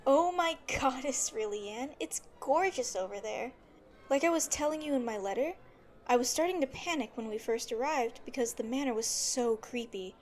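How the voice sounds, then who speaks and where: A woman playing a sweet, chatty young noblewoman in an audio drama, expressive and affectionate. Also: high-pitched, normal speed, faint music behind, close to an everyday voice. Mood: delighted.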